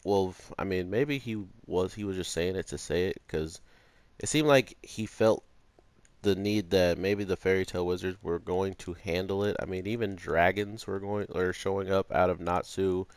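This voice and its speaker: A man's voice: low voice, moderate pace, no room sound. A man giving informal, unscripted review commentary in a hesitant, meandering way with bits of slang. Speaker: anime review YouTuber.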